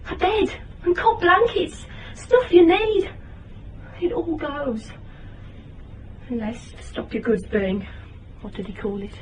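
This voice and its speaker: Woman acting scared young british mum in radio play, high voice, halting and rambly, bit off mic. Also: far from the mic, slight background noise, lightly acted, low-quality recording. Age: young adult. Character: frightened young single mother in desperate debt.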